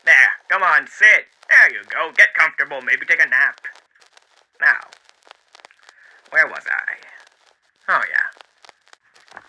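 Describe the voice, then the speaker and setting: A man's voice: on the high side, big pitch swings, slow pace, long pauses, pushed and bright, full character voice, rough recording. A man voicing a genteel, eerily cheery demon radio host with showy, mannered delivery, in the style of 1930s radio acting. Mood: coaxing, genial.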